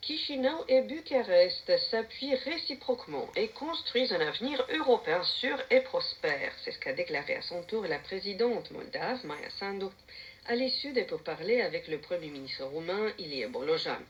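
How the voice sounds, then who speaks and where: A man's voice: sits high, very animated, moderate pace, fairly bright tone, lo-fi sound. A man with a Romanian accent reading a news commentary with uneven emphasis and brief hesitations. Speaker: radio commentator. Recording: shortwave radio with hiss.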